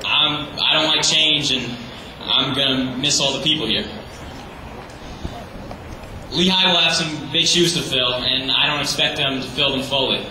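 A teenage boy giving an earnest, slightly halting graduation speech as salutatorian. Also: medium pitch, steady tone, bright, forceful tone. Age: teens. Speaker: graduating student. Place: large hall or gym. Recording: echoey hall, far from the mic, noisy.

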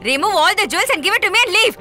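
Casting: woman as desperate mother, very high bright voice, shouting pleas. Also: expressive, very fast, soft background music, full character voice. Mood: desperate pleading. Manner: shouting, pleading. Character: frantic mother pleading with her daughter. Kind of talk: dubbed film acting.

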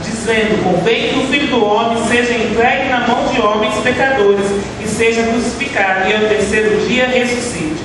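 Young Brazilian woman, worship leader, earnest measured reading; long church reverb, noisy hiss. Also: on the high side, fairly even intonation. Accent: Brazilian Portuguese. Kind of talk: leading a church service, Bible reading. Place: church hall, indoors. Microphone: stand mic at a pulpit, filmed from a far camera. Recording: echoey hall from far off, background hiss.

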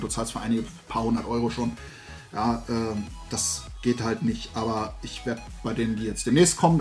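A man in his forties chatting casually to camera as a video host, rambling and colloquial with emphatic turns. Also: mid-range voice. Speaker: YouTube host. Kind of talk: casual chat to camera, product haul. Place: indoors, small collection room lined with shelves.

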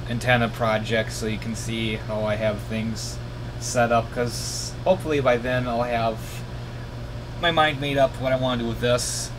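A tired man giving a casual, hesitant, rambling hands-on demonstration as a radio hobbyist, thinking aloud in a small room. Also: medium pitch. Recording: noisy room, steady background hiss.

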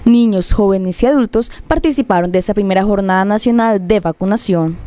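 A woman reading a scripted news voice-over in an even, formal way. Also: medium pitch, brisk, background noise. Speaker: news reporter.

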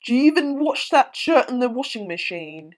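A snarky cartoon pig with a mocking, teasing delivery, voiced by a woman. The voice sits a little high and stays close to her everyday talk, in an amateur cartoon. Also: big pitch swings, moderate pace.

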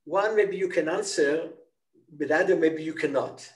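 Brief: older male moderator, sixties, friendly, somewhat higher voice, speaking on a video call. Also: normal speed. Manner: friendly, joking, with fillers. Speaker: interviewer and moderator. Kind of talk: moderating a panel. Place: office with a banner. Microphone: laptop video call.

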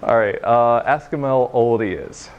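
A young man giving an informal technical demo to a room, speaking haltingly with frequent pauses. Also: mid-range voice. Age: young adult. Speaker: presenter giving a software demo. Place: meeting room, standing by projection screen. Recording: noisy, muffled room recording.

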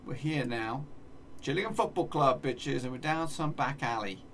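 A man's voice: mid-range voice, normal speed, fairly bright tone, recorded from a distance, a little hiss or hum. A man in his thirties with an English accent, commentating on a map-guessing game as he plays, muttering place names and swearing playfully. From England. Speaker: gaming streamer. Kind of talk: casual live game commentary. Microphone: desk mic, seen in webcam corner.

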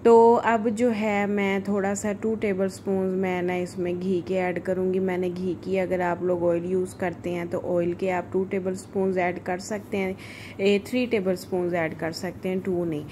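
A woman's voice: lowish voice, little pitch movement, moderate pace, dark tone. A woman giving a chatty, informal recipe voice-over as a home cook. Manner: informal, chatty, homely asides. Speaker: home cook, cooking channel host. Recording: voice-over with clear background noise.

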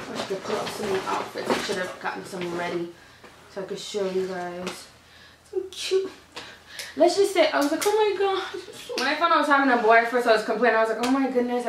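A woman's voice: fairly high pitch, slight background noise. A woman in her thirties chatting to the camera in a casual update from her home office, giggling often and correcting herself. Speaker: vlogger. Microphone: camera across the desk. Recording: echoey room, mic a bit far.

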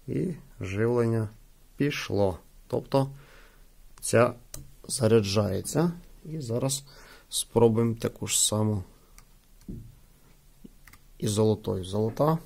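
A man's voice: lowish voice, vocal fry, darkish tone. A man reviewing gadgets in a casual, explanatory hands-on style, often repeating himself. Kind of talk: casual hands-on product demonstration. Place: indoors at a wooden table.

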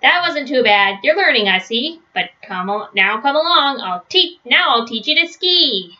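A woman's voice: high voice, animated, moderate pace, sharp tone. A woman voicing a boastful, overconfident father bear from a storybook, showily instructing his son with emphasis. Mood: proud, instructive. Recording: dull, lo-fi webcam sound.

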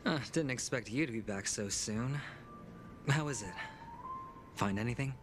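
An actor voicing a flippant young gambler in a video game, airy, theatrical and wry. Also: male voice, medium pitch, normal speed, close to an everyday voice. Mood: wry amusement. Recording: game audio with music behind.

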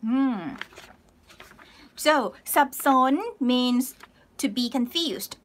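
A woman in her thirties teaching a live online language lesson from a small room, cheerful and laughing often as she reads out viewers' comments and repeats words slowly. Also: high-pitched. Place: small room indoors, plain wall.

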